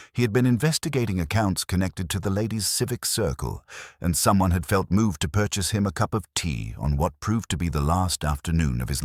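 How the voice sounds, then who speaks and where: A man narrating a scripted mystery story in a measured, formal, literary manner. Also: deep voice, no echo, studio quality.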